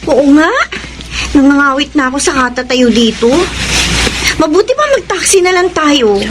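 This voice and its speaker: A woman's voice: high-pitched, normal speed, music in the background, lightly acted. An actress playing a reserved, old-fashioned young woman in a Filipino radio drama, firm and politely dismissive, with a Filipino accent. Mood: weary. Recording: noisy, vehicle ambience behind.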